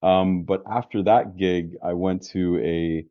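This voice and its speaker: Deep-voiced man. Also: takes it slowly, no room sound, narrow, tinny audio. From New York metropolitan area, US. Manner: relaxed and talkative, frequent restarts. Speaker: guest, insurance business founder. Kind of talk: casual interview conversation.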